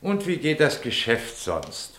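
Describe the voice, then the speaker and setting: An actor playing an impatient, suspicious private detective in a German radio drama, with a forceful and sarcastic manner. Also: male voice, mid-range voice, huge pitch swings, a little slow. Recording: echoey room sound.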